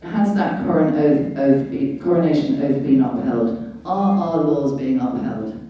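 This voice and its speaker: A woman's voice: rumbling low, a little slow. A British woman giving an informal public talk as a guest speaker, explaining in an emphatic, didactic way with rhetorical questions. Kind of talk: informal public lecture on law and rights. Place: large echoey indoor venue at a public meeting. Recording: dull, very echoey hall, distant mic.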